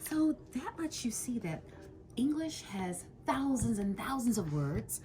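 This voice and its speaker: A woman's voice: a little high, big pitch swings, measured pace, mellow. A woman teaching a lesson with questions to a student, encouraging and emphatic, drawing out key words.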